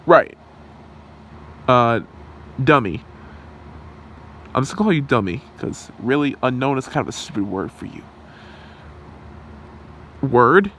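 A man voicing an earnest, cheeky and defiant young superhero in an amateur ASMR roleplay, quippy and animated. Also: mid-range voice, a little slow, pauses often. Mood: cheeky, alert. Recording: noisy room hum.

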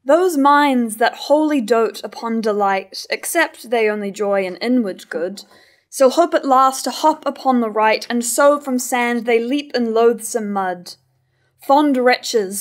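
A young woman playing a sage, disapproving chorus, reciting tragic verse in a measured way in a staged reading. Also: a little high, natural voice. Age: young adult. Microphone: stand microphone at lectern.

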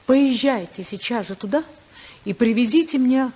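Margaret, husky high-voiced anguished wife. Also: female voice, warm tone. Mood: urgent, hushed. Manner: theatrical, emphatic, with dramatic pauses. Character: Margaret, anguished, proud, determined senator's wife. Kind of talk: 1950s Russian radio play acting.

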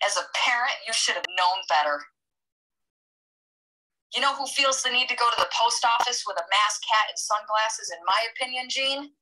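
A scornful woman hurls rhetorical questions in a bright, sharp voice. She sounds set back from the mic, and her tone stays high. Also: expressive, normal speed, recorded from a distance, low-quality recording. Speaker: resident giving public comment. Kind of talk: prepared public statement. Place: town hall meeting room.

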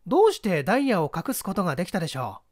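A woman's voice: on the low side, sing-song, racing, a little mellow, no echo, studio quality. A woman playing a clever, composed teenage boy detective, polite and self-assured, in an audio mystery story. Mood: calm, probing.

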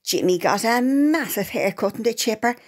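A woman's voice: a little high, expressive, normal speed. A woman playing a gossipy, blunt, brazen Irish family woman in a radio comedy, nosy and sweary. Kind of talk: comedy radio drama acting.

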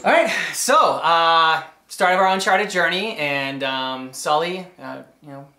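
A man in his thirties chatting casually as a reaction host, with a halting, enthusiastic delivery. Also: fairly high pitch, pushed and bright, faint music behind. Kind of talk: casual commentary chat. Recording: roomy indoor echo.

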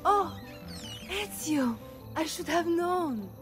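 A coy young noblewoman in a video game. Her voice is high and slow, with faint music behind. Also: animated, full character voice. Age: young adult. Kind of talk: video game character acting.

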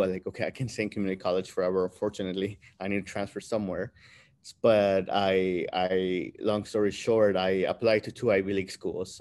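A man in his thirties, born in Peru and raised in New Jersey, telling his life story as an interview guest on a video call, relaxed and filler-heavy. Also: low-pitched. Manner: relaxed, many fillers, laughs between phrases. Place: room with bright window behind. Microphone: webcam on video call.

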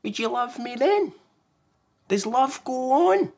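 A man's voice: very high-pitched, lively intonation, slow, creaky, darkish tone, pitched above the performer's own voice, full character voice. A man voicing a small young storybook fox who is grumpy, needy and full of plaintive questions. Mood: anxious, questioning.

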